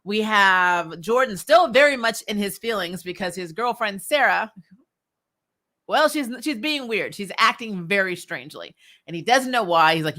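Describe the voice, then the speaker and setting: A woman giving a casual, slangy episode review as a host, animated and opinionated in her delivery. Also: lowish voice. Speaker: host of a TV recap show.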